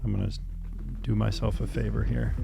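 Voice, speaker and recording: A man's voice: on the low side, flat delivery, a little fast, gravelly, loud music behind the voice. A man in his thirties chatting casually and candidly as a podcast host, laughing easily as he talks. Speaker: podcast host and therapy client. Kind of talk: casual conversational chat.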